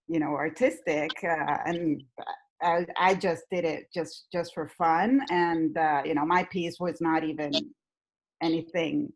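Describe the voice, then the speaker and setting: Woman, hesitant video-call chat. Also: fairly low pitch. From Venezuela. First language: Spanish. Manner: hesitant, many fillers and restarts. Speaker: guest cookie baker.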